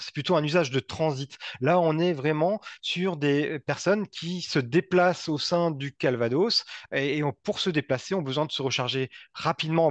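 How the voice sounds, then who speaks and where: A man giving a semi-improvised technical presentation, speaking haltingly and often repeating and rephrasing his words. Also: fairly high pitch, dry recording. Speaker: presenter from a local energy body.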